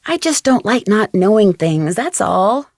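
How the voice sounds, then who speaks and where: A woman's voice: medium pitch, sing-song, unhurried, darkish tone, no echo. A woman voicing an inquisitive, determined teenage American girl detective in a video game, earnest and conversational. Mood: matter-of-fact.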